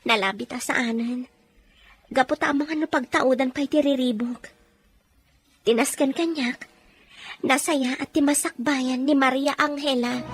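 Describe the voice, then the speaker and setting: Woman acting young mother, audio drama, regretful, subdued, confiding, very high pitch, rough-edged clarity. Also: unhurried, pauses often. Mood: resigned, defensive. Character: regretful, resigned young mother working abroad, former secretary.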